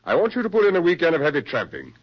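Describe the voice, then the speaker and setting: Genteel army psychiatrist, very animated low voice, insistent, vintage radio play, muffled. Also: male voice, rapid-fire. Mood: hearty, coaxing. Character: brisk, persuasive male military psychiatrist. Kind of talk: 1940s radio acting. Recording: muffled 1940s radio recording.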